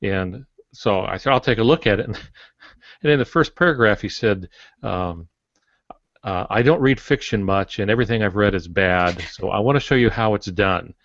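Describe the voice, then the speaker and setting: Male podcast panelist, writing teacher. Slightly deep voice, conversational delivery, dull and dry recording. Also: moderate pace, fairly bright tone, dry recording.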